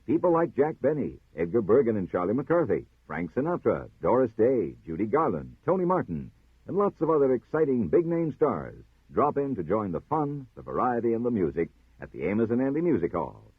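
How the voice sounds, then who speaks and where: A man's voice: mid-range voice, very animated, brisk, breathy edge, no echo. A man announcing and promoting programs in a polished 1950s radio style. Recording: narrow-band 1950s radio recording.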